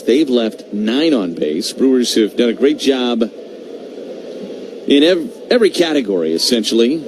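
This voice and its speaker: A male baseball announcer with a medium-pitched voice calls the game live on radio. He delivers it in measured bursts, pausing between calls. Clear background noise runs behind him throughout the broadcast. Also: a little mellow.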